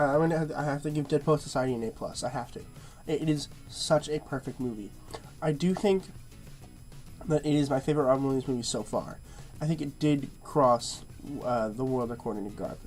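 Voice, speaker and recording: A man with a blocked, nasal-sounding nose talks through a film review in an easygoing, rambling way, leaning on fillers and saying words twice. His voice sits at an ordinary middle pitch. Soft music plays faintly behind him. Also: brisk. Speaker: movie reviewer. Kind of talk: casual review monologue.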